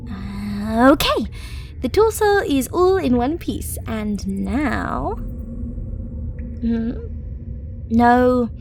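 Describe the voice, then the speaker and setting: A woman's voice: fairly high pitch, darkish tone, a little hiss or hum. A woman playing a pragmatic, chatty female necromancer in an audio roleplay, talking casually and matter-of-factly to the listener as she works. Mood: focused, casual. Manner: casual, matter-of-fact, chatty while working.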